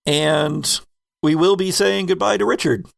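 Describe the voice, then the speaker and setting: A man hosts a casual round-table podcast. His voice sits a little high for a man and carries plenty of gravelly creak. The sound is dry, with little room around it. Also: a little slow, no room sound. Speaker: podcast host.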